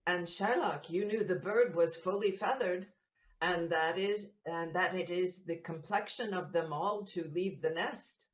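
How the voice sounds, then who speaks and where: Woman as slow-spoken gossip in an echoey room. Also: lowish voice, natural voice. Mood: needling. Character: gossiping, needling Venetian townsperson. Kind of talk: Shakespearean audio play acting. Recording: muffled, echoey room recording.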